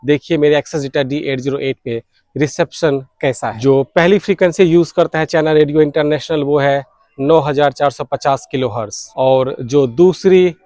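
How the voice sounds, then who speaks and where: A man's voice: a little high, normal speed, a little mellow, dry recording, clean studio sound. A man narrating informally as a vlog host, explaining things step by step. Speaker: shortwave radio vlogger, host.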